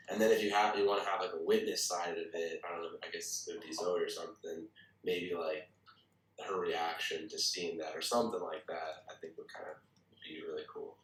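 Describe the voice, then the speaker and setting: A man with a low voice talks through ideas casually in a group discussion. His phrasing is loose and tentative, full of small hedges. He sounds distant from the microphone in an echoey room. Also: fairly bright tone. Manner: hesitant phrasing, hedging with many "like" and "kinda". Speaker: participant giving script feedback at a table read. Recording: echoey room, far from the mic.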